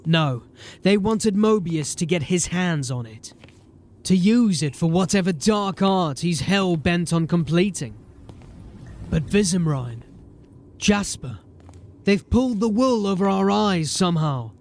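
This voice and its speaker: High-pitched commanding watch sergeant. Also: male voice, animated, a little slow, darkish tone. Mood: brooding. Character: gruff, commanding male watch sergeant in fantasy city. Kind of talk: fantasy audio drama acting.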